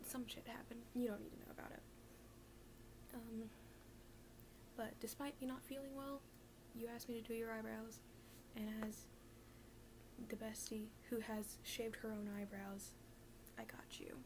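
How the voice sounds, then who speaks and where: A woman whispering in a breathy, hushed, halting way, doing an ASMR roleplay as a gloomy, deadpan emo best friend. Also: fairly high pitch, noisy background. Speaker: ASMR video creator.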